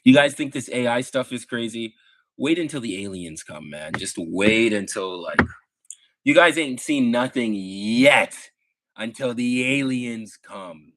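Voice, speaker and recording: A man's voice: mid-range voice, expressive, a little slow, a bit bright, no room sound, rough recording. A young man with a Midwestern American accent, chatting in a rambling, laid-back way with lots of fillers on a live stream. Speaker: livestreamer. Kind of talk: casual live stream chat to chat viewers.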